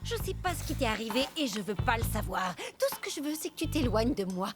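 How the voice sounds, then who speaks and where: A know-it-all cartoon blackbird lady. Her highish voice swings sharply with exasperation and scorn. Music plays behind her. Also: very animated, quick pace. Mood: stern. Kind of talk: animated cartoon voice acting.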